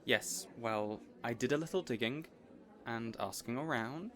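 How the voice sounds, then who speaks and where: A man playing a boyish, caring supervillain boss in an audio roleplay, earnest and rambling, hesitant yet excitable. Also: mid-range voice, measured pace, close to an everyday voice. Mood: tentative.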